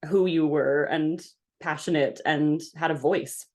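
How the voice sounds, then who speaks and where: Low-pitched, expressive woman chatting candidly. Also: a little slow. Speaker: podcast guest. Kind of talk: candid casual chat.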